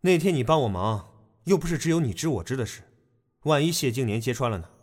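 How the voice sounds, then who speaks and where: A man's voice: mid-range voice, expressive, fast talker, in a large hall, natural voice. A man playing a cool, curt young idol group leader, offhand and dryly teasing, in an audio drama.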